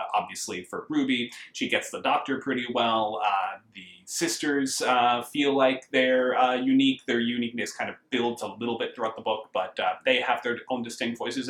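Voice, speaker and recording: A man's voice: fairly low pitch, a bit bright. American man reviewing in an echoey room. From United States. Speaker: book reviewer. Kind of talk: casual review talk to camera. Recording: roomy, echoing indoor sound.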